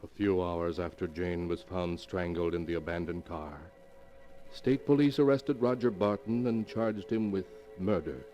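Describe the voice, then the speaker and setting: A man's voice: sits low, unhurried, mellow, dull audio, faint music behind. A man narrating in the melodramatic scripted style of a 1940s radio announcer, on an old recording.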